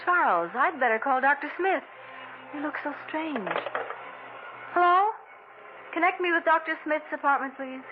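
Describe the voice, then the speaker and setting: An actress playing an anxious, fussing wife in a 1940s radio drama. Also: female voice, normal speed, long pauses, background noise, natural voice. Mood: worried. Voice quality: high, fluttery, worried. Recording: thin, tinny old radio broadcast.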